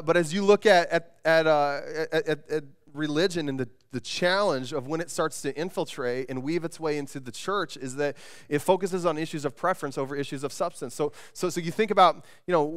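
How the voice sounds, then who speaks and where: A man's voice: on the high side, fairly bright tone, clear, resonant tone. A man in his thirties preaching a sermon from a church stage, conversational, often repeating words and restarting sentences. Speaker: pastor. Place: church stage with band gear, indoors.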